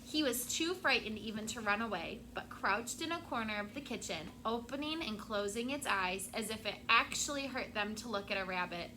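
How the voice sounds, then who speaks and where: A woman teacher reading a picture book aloud to her class, expressive and stopping to prompt the children. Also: a little high, normal speed, hardly any pauses, noisy background.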